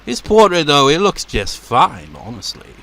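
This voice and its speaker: A man with a naturally deep voice rambles through casual let's play commentary, his pitch jumping around with lively swings. His tone is bright and forward, with laughs and swearing mixed in. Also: moderate pace, vocal fry, slight background noise.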